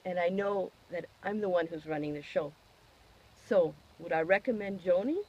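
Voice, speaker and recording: Husky-voiced woman giving a testimonial. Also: medium pitch, a little mellow, muffled recording. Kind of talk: personal testimonial monologue.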